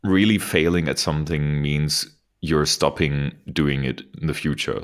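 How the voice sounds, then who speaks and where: Casting: podcast co-host, male, extremely low voice, jokey and easygoing. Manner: joking, laughs often. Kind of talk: casual podcast chat. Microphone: podcast microphone over video call.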